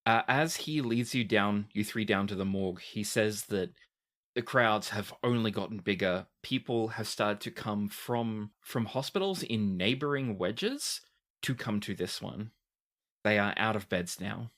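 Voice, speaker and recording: A man acting as game master, narrating a tabletop roleplaying game and explaining it deliberately. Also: on the low side, fairly bright tone.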